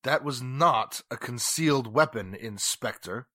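A man's voice: medium pitch, measured pace, sharp tone, no echo. An actor playing a haughty, sarcastic, indignant businessman in 1940s radio drama style. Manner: pompous, formal, sarcastic. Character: haughty, indignant wealthy businessman under police questioning.

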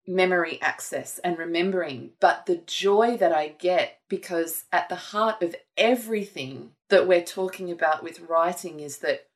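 Measured woman, low voice. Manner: thoughtful, measured, earnest. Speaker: author. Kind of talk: reflective conversation.

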